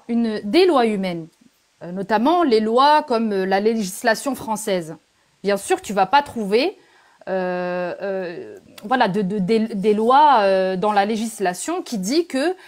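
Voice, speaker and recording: A woman in a live debate, speaking haltingly with many fillers as she quotes religious texts and presses her questions. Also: medium pitch. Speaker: debate guest.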